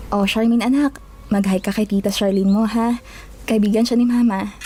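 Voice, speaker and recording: A woman playing a gentle, apologetic young mother in an emotional audio drama. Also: medium pitch, steady tone, moderate pace, a little hiss or hum, natural voice. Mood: gentle, motherly. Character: gentle, apologetic young mother, long-lost best friend.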